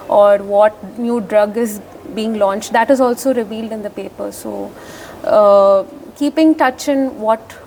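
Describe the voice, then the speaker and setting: A woman's voice: on the high side, warm tone, slight background noise, rough recording. A young adult woman with an Indian English accent, a physician answering interview questions, hesitant, with many fillers and restarts.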